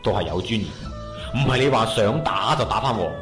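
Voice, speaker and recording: A man's voice: on the low side, expressive, brisk, close to an everyday voice. A man voicing a proud, stubborn gang boss in a comedy radio drama, swinging from hurt to stern lecturing. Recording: echoey room with music underneath.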